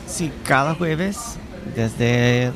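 A man's voice: medium pitch, drawn-out words, a crowd in the background. A man guest who learned Spanish as an adult, friendly and plain in his answers. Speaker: guest, language exchange organizer. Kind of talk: conversational interview answers.

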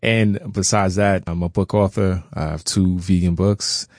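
Middle-aged man, American accent, deep, dark soft tone, conversational interview guest. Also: fairly even intonation, measured pace, dry recording, studio quality. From Brooklyn, New York. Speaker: interview guest, farmer and author.

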